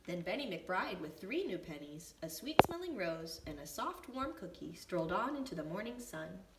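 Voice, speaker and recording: A woman reading a storybook aloud for young children as a preschool teacher, with expressive, deliberate phrasing. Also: lowish voice. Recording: echoey room, distant mic, faint noise.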